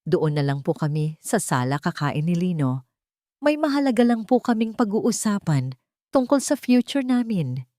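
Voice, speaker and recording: A woman's voice: on the low side, very animated, moderate pace, mellow, no echo, clean studio sound. A woman voicing a scheming daughter-in-law in a story, sugary and falsely courteous with venom underneath. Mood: feigned politeness.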